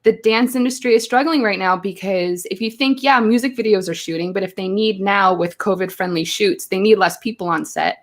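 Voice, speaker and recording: A chatty woman from Belgium, speaking at a medium pitch. She tells stories in a lively way and slips into quoted asides as a guest on a live show. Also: fairly quick, few pauses. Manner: chatty, animated storytelling with acted-out quotes. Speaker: guest, choreographer. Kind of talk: casual interview chat. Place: home, live stream.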